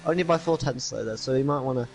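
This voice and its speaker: A man's voice: medium pitch, quick pace, creaky, slight background noise. A young man commentating an esports racing league in casual chat, bantering and laughing often, on a headset from a home studio.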